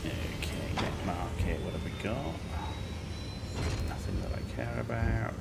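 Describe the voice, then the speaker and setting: A British man in his forties, giving relaxed, musing gameplay commentary with frequent fillers. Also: on the low side, a little slow, vocal fry, very noisy. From United Kingdom. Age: 40s. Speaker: gaming video host. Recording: loud game music behind the voice. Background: loud game music.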